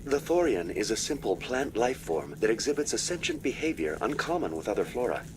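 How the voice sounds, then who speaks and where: A man voicing a polite, detached virtual assistant in a video game, speaking in a formal, measured way. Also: mid-range voice, big pitch swings, background noise, natural voice. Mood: impassive, formal. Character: polite, impassive male virtual assistant, unfailingly procedural.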